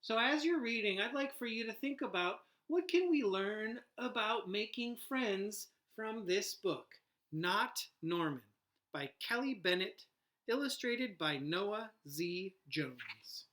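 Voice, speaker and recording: A man, a teacher, reading a storybook aloud to children in an expressive, deliberate way, with asides and questions to his listeners. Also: sits high.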